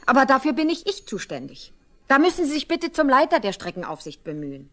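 Lively, exasperated young railway trainee. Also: female voice, high-pitched, fairly quick. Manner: exasperated, rule-bound, protesting. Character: young woman trainee stationmaster, exasperated, dutiful. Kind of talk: radio play acting.

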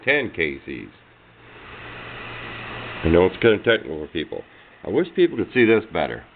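A man's voice: low voice, huge pitch swings, normal speed. A man giving a casual, hands-on explanation as a hobbyist, rambling along in folksy, informal speech on noisy, muffled amateur camera audio. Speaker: hobbyist presenter of radio demonstration.

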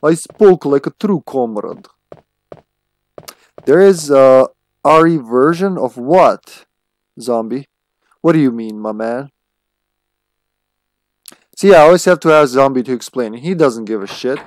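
A man's voice: mid-range voice, lively intonation, a little slow, pauses often, no echo. A man, chatting casually and playfully with swearing as he streams a game. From Serbia. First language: Serbian. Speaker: video game livestreamer. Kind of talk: casual live gameplay commentary with chat.

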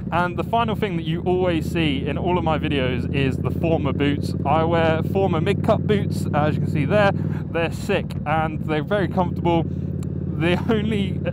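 A young man talking casually about motorcycle gear from his helmet while riding on country roads. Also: fairly high pitch. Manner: relaxed, chatty, recommends gear with many fillers. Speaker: motovlogger. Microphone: helmet mic under a full-face helmet. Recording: loud wind and engine noise. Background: motorcycle engine and road wind.

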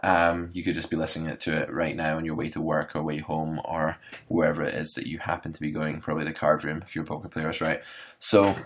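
A man, hosting a poker podcast and explaining strategy in a relaxed, rambling way with many fillers. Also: very deep voice, fairly quick. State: hoarse. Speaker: poker coach and podcast host. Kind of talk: solo instructional podcast.

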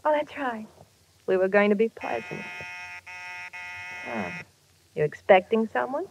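Proud, animated old flame. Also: female voice, fairly high pitch, measured pace, gravelly, a little hiss or hum. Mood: softened, wistful. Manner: dramatic, defensive. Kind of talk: 1950s radio detective drama acting. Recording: muffled old radio recording.

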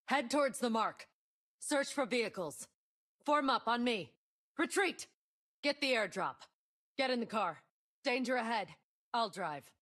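A woman's voice: a little high, lively intonation, a little slow, pauses often, fairly bright tone. An actress playing a confident, determined young female tech mercenary in a video game, delivering short commanding callouts. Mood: urgent.

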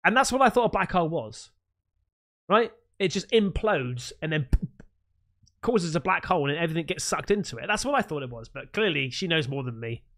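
Animated man chatting on stream. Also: fairly high pitch, brisk. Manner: animated, thinks aloud with restarts. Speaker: host reacting to clips. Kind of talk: casual reaction commentary. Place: small home streaming room, indoors. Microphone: close studio mic with headphones.

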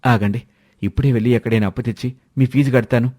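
Guy doing a responsible big brother in a story, earnest, mellow. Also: mid-range voice, steady tone, fast talker, natural voice. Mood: dismayed. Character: dutiful, responsible eldest brother in a family story.

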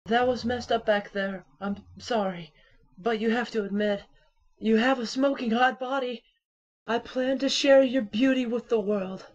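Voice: medium pitch, muffled recording, close to an everyday voice. An actor voicing a lovelorn, melodramatic artist in an anime parody dub, sappy and self-absorbed.

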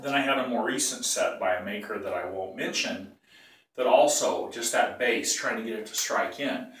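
A man's voice: lowish voice, normal speed, fairly bright tone, recorded from a distance. Older man with a cold, echoey bathroom. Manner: rambling, self-correcting, throat-clearing. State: has a cold, clearing throat. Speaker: bagpipe teacher. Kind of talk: casual tutorial. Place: small tiled bathroom. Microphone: phone or camera some feet away.

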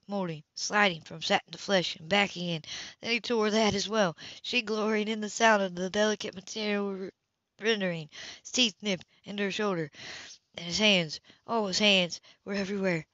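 A woman reading a romance novel aloud as an amateur narrator, slightly halting, stumbling over words and repeating them. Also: medium pitch, fairly bright tone, dry recording. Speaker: amateur audiobook reader. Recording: dull, muffled lo-fi home recording.

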